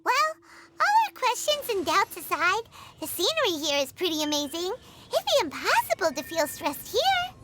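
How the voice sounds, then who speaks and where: A woman voicing a small, childlike, excitable and chatty fairy companion in an anime game. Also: extremely high pitch, moderate pace, very noisy. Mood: curious. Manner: refers to self in third person, exclaiming.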